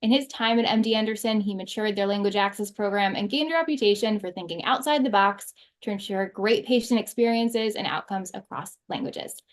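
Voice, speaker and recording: A woman's voice: medium pitch, a little fast, few pauses. A woman speaking as the host of a conversational interview.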